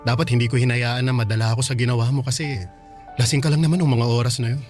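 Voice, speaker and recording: A man's voice: mid-range voice, fairly even intonation, quick pace, a little mellow, close to an everyday voice. Casting: actor as considerate young man with a tender crush, Filipino accent, hesitant radio-drama delivery, faint music behind. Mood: sorry, sincere. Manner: hesitant, polite.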